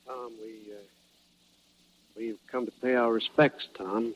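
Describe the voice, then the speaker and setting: An actor playing an awkward, sympathetic townsman in a 1950s radio drama, speaking haltingly. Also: mid-range voice, little pitch movement, husky. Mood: subdued, sympathetic. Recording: muffled vintage radio broadcast.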